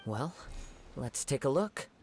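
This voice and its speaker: A man voicing a calm, thoughtful young wandering swordsman in a video game, speaking in a measured, formal, reflective way. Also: mid-range voice, a little hiss or hum. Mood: observant, composed.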